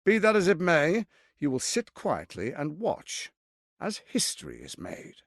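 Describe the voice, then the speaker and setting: A male actor playing a suave, scheming, power-hungry lord in a video game, with a theatrical, measured, silky politician's delivery, ruthless and charming. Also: mid-range voice, vocal fry, no room sound, clean studio sound, exaggerated voice. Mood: condescending, mocking. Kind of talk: dramatic video game voice acting.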